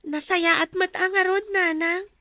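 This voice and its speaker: An actress playing a gentle, dutiful young student daughter in an Ilocano radio drama. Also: female voice, very high-pitched, fairly even intonation, a little slow, no echo, heavily acted. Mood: affectionate.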